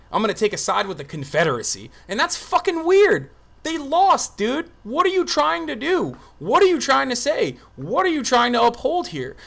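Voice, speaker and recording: A man's voice: high voice, a bit bright. A man doing unscripted livestream commentary, emphatic and argumentative, with casual swearing. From Turkey. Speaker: streamer and political commentator.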